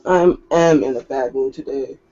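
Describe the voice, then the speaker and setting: Man playing a curt, bossy woman leader, mellow-toned. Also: medium pitch, normal speed, dull audio, lightly acted. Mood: ominous. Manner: curt, imperious, prying. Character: bossy, ill-tempered female werewolf sept leader.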